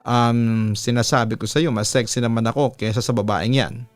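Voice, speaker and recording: A man's voice: medium pitch, steady tone, moderate pace, no echo, faint music behind, lightly acted. Man voicing a sassy, seductive Filipina bar hostess. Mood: teasing, seductive. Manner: teasing, seductive.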